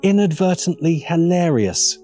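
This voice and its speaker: Animated, sardonic man over music. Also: on the high side, measured pace. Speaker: video essayist. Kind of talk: scripted opinionated commentary.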